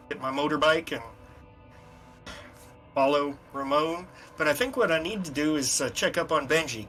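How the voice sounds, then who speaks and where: A man talks casually as a player in a tabletop game, with a bright, forceful voice pitched a little high. His manner is folksy, and faint music plays behind him. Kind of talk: casual game talk, partly in character.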